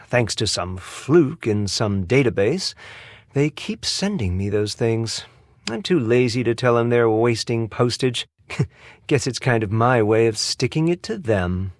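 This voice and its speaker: A man's voice: medium pitch, dry recording, clean studio sound. A man playing a sardonic spa receptionist in a video game, with wry, drawling sarcasm. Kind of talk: video game character dialogue.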